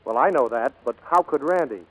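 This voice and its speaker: Actor as easygoing flight instructor, expressive delivery. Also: male voice, medium pitch, brisk, natural voice, low-quality recording. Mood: calming, amused. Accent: American. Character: easygoing, wry male flying instructor, friendly peacemaker. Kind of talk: 1940s radio drama acting.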